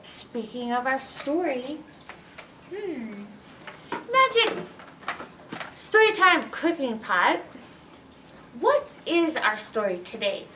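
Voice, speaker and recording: Slow, very animated high-voiced woman. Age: middle-aged. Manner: animated, exaggerated, teacherly, asking questions. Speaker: children's librarian, story time host. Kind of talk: read-aloud story time for young children. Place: indoors in a library, by bookshelves. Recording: roomy distance from mic, background noise.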